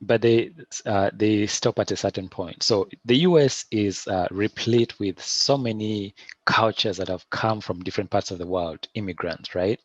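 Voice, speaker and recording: Low-voiced Ugandan man, halting and thoughtful. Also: no echo, dull audio. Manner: thoughtful, halting, with many restarts and fillers. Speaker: filmmaker guest. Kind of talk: interview answers.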